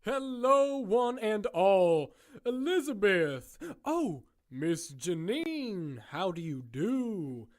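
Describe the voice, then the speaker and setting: Man acting a slow, high-voiced smug showman. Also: big pitch swings, heavily acted. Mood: breezy, showy charm. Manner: folksy, smug, drawn-out showy politeness. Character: breezy, vain showman who owns a prison circus. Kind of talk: audio drama acting.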